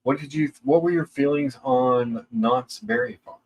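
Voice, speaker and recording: A man's voice: medium pitch, unhurried, a little mellow, slightly rough, rough recording. A middle-aged man co-hosting a casual chat, reading listener questions aloud and musing with some hesitant restarts. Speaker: co-host.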